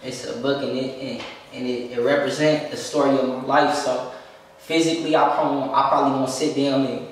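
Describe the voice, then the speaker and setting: A man's voice: mid-range voice, flat delivery, fairly quick. A young man talking casually as an interview guest, laid-back and repeating "you know what I'm saying" as he speaks. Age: young adult. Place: room with a leather couch, indoors. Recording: echoey room from a distance.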